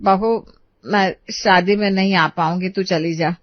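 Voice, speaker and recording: A woman voicing an older mother-in-law in an animated moral story, fretful and exclaiming. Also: medium pitch, measured pace, no echo, lo-fi sound, close to an everyday voice. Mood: glum.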